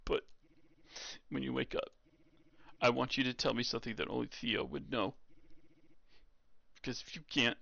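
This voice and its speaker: A man playing a gruff, reluctant skeptic in an audio drama, with a halting, muttering, curt way of talking. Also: medium pitch, steady tone, pushed and bright, no echo, muffled recording. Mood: reluctant, weary.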